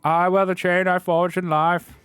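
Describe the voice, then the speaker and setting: A man voicing a mournful chained male ghost with high, moaning, drawn-out wails. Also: level tone, a bit bright, clear, resonant tone, no echo, faint music behind.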